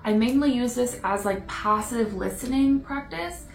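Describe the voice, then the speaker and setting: A woman's voice: a little high, unhurried, creaky. A woman speaking casually in a vlog, chatty and self-deprecating. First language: English. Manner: chatty, self-deprecating, laughs now and then. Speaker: vlogger. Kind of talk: casual talking-head vlog. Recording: roomy echo. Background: faint background music.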